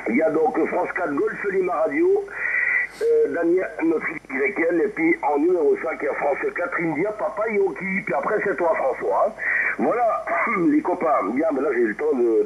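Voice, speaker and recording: High-pitched chatty man on muffled radio. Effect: two-way radio transmission. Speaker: amateur radio operator leading a radio round. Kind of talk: casual chat over ham radio. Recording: muffled, hissy amateur radio reception.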